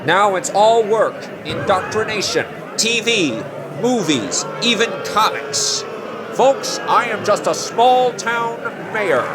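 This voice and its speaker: Man putting on a sanctimonious, high-pitched preaching politician. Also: noisy background. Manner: sanctimonious, oratorical. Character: zealous book-burning male politician giving folksy preaching speeches. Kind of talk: dramatic comic reading of a political speech.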